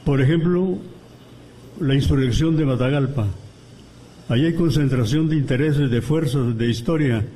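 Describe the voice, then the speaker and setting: A man from Guatemala, giving an academic lecture as guest commentator at a book presentation, measured and deliberate, pausing between phrases. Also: mid-range voice, mellow, rough recording. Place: university auditorium.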